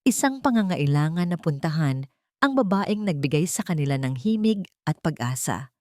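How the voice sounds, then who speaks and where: A woman narrating a scripted story in a theatrical, suspenseful way with deliberate pauses. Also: low-pitched, animated, darkish tone, dry recording, clean studio sound. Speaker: audiobook narrator.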